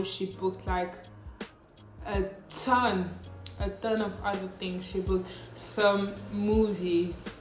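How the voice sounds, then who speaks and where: Brief: late-teen woman, non-native English, upbeat chatty vlogger, unhurried delivery, echoey room, faint music behind. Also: medium pitch. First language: not English. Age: late teens. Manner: chatty, upbeat, slang-filled. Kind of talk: casual scripted vlog.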